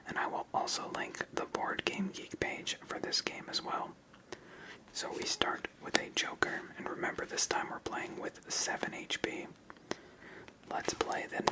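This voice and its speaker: A person whispering gentle step-by-step ASMR commentary while playing a solitaire card game as host. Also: low-quality recording.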